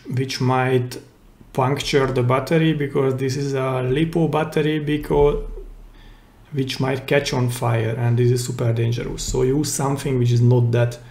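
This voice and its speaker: A man giving a hands-on, step-by-step repair tutorial in simple, careful phrases with pauses mid-sentence, recorded indoors at a workbench. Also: mid-range voice, fairly even intonation, a little mellow. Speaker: DIY repair channel host. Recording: roomy, echoey indoor sound.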